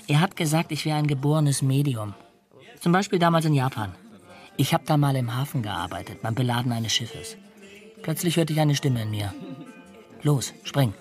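An actor playing a brooding, superstitious and pedantic criminal drifter in a radio drama, intense and uneasy. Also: male voice, fairly high pitch, natural voice.

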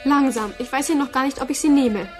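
A woman's voice: sits high, a little fast, over music, natural voice. A woman playing a precocious, cheeky thirteen-year-old girl in a radio play, cutesy and demanding. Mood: cool, cheeky. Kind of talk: sci-fi crime radio play.

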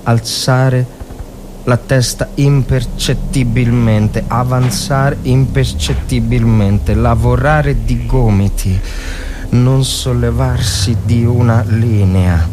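A man voicing a frightened infantry soldier in a war story, talking himself forward in halting, tense instructions. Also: medium pitch, steady tone, noisy background, natural voice. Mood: fearful, concentrated.

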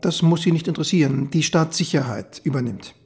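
An actor in a radio drama playing an evasive, officious East German police chief, stiff and dismissive under feigned calm. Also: male voice, a little high, brisk, slightly rough. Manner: hemming, then stiff and dismissive. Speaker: head of district police office.

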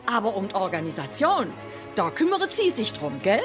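An actress playing an eager, expressive woman traveller in a radio drama. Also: mid-range voice, moderate pace, music in the background. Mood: excited, chatty. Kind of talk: radio drama dialogue and storytelling.

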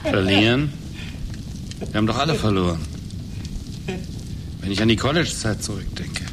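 A man's voice: on the low side, lively intonation, normal speed, lightly acted. Actor as brooding middle-aged man, noisy recording. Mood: brooding, wistful. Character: disillusioned middle-aged male bookseller, brooding and philosophical. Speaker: actor in a radio play. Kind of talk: 1980s German radio drama acting.

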